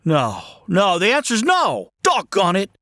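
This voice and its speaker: A woman's voice: mid-range voice, huge pitch swings, measured pace, a bit bright, no echo, clean studio sound. A woman playing an earnest, flustered young small-town man in a radio drama, stammering and folksy. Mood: indignant. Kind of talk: old-time radio drama acting.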